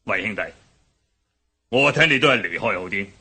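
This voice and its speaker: An actor playing a loyal gang lieutenant in a radio drama, speaking with a Hong Kong Cantonese accent in a firm, matter-of-fact manner. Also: male voice, lowish voice, expressive, normal speed, pushed and bright.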